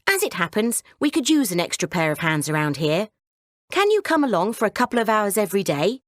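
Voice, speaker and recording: A woman voicing a brisk, kindly female stable yard manager in a children's storybook. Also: medium pitch, big pitch swings, dry recording, clean studio sound, natural voice. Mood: brisk, agreeable.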